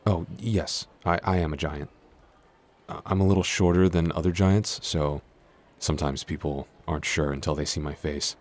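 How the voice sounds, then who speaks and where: A man's voice: extremely low pitch, steady tone, normal speed. A man playing a shy, clumsy giant in a roleplay audio, stammering, hesitant and constantly apologetic. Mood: resigned, shy. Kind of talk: intimate roleplay audio acting.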